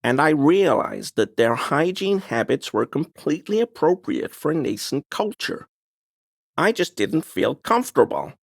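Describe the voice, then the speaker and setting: An actor voicing a fussy, defensive amateur cryptid enthusiast in a video game, with a pedantic, wordy, evasive and grumbling way of talking. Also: male voice, fairly high pitch, sing-song, measured pace, gravelly, no echo, clean studio sound, full character voice. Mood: defensive, uneasy. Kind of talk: video game character dialogue.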